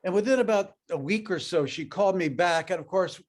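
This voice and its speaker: An older man with a slightly higher voice than most tells a personal story to an audience. He grew up speaking Ukrainian and talks in a loose, conversational way with plenty of fillers. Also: fairly quick. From Europe, raised in North America. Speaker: network marketing speaker.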